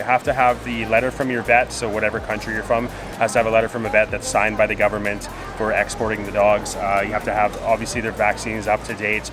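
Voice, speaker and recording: Guy talking fast for a travel vlog at an airport, chatty, music in the background. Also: fairly low pitch. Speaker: travel vlogger. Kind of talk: casual chat to camera. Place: busy airport.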